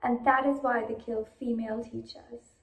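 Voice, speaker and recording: High-voiced young woman, creaky, roomy sound. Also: steady tone, normal speed. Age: teenage to young adult. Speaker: student reciting a speech. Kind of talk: recited speech. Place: indoor room. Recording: roomy echo.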